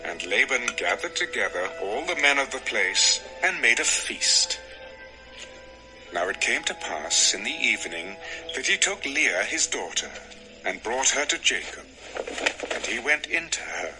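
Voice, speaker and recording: A man's voice: medium pitch, very animated, measured pace, over music, low-quality recording. A man narrating scripture from a script in a dramatic storytelling style.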